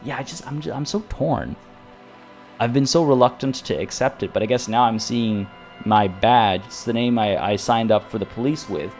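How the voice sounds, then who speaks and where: A man's voice: mid-range voice, moderate pace, a little mellow, soft background music. A man live-streaming a game, chatting to his viewers in a rambling way full of restarts, and saying he is losing his voice. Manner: rambling and tangential, with many restarts. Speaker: streamer playing a video game.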